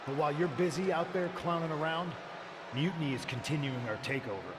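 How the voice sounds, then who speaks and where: A man's voice: fairly high pitch, fairly quick, lightly acted. Man acting a cocky rebel wrestler, noisy arena. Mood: disdainful. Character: cocky, menacing rebel wrestler, disdainful of his imitators. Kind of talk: wrestling promo.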